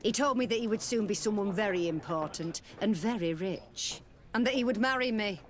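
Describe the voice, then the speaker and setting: A woman acts a flirtatious courtesan in a video game tavern, with a medium-pitched voice. She talks familiarly and coyly, teasing as she goes. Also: brisk, lightly acted. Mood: coy, confiding. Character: flirtatious, sweet-talking, discreet tavern courtesan. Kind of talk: video game dialogue acting.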